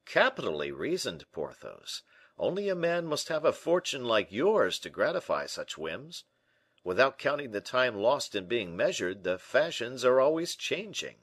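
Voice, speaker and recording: A man voicing a shrewd, brisk gentleman in an audiobook, animated, questioning and a little impatient. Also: mid-range voice, slightly rough, no echo, clean studio sound, pitched above the performer's own voice. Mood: mildly impatient. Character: D'Artagnan, brisk, shrewd, exclaiming gentleman friend. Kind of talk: audiobook character acting.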